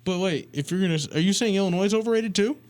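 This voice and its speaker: Fast-talking, argumentative male co-host. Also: high-pitched. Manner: argumentative, interjecting. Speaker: sports talk show co-host. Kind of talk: casual sports debate.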